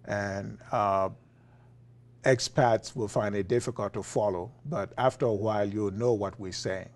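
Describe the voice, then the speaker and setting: A man with a Liberian accent, a philosophy professor being interviewed, talking in a relaxed way with frequent fillers and chuckles. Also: on the low side, a little slow. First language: Liberian English. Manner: chuckling, many uh fillers and restarts. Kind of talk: conversational interview.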